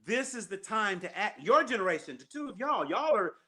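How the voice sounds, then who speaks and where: A man's voice: high-pitched, big pitch swings, a little fast, bright, forceful tone. A man hosting a call-in show, talking emphatically and repeating his key points. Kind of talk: casual call-in chat.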